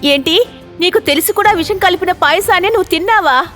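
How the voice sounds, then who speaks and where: A woman playing a very high-voiced protective mother. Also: fairly quick, a bit bright. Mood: remorseful. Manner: emphatic, emotional delivery. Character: village mother, protective and quick to suspect. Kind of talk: cartoon voice acting. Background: faint background music.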